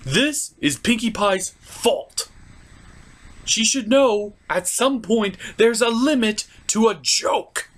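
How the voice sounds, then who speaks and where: A man's voice: high voice, lively intonation, slow pace. A man giving a scripted comedic review as host, with an exasperated, sweary, ranting delivery. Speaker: puppet-show reviewer and host.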